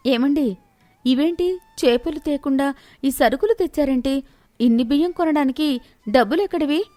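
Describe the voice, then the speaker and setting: A woman's voice: sits high, moderate pace, dark tone, dry recording, voice pushed up, lightly acted. A woman voicing a concerned village wife and mother with a plaintive, questioning manner in a storybook tale. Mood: surprised.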